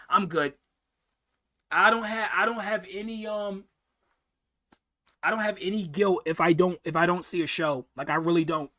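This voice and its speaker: A man's voice: high voice, measured pace, pauses often, bright, forceful tone. A young man hosting a casual live-stream Q&A, answering viewer questions in a rambling way, often repeating words and restarting sentences.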